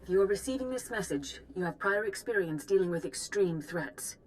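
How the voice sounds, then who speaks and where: A woman playing a composed, authoritative Jedi master in a video game, speaking in a measured, formal and grave way. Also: mid-range voice, expressive, close to an everyday voice, poor audio. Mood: grave, urgent. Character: composed, authoritative female Jedi master giving mission briefings. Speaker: military commander briefing volunteers. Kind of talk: formal scripted game dialogue.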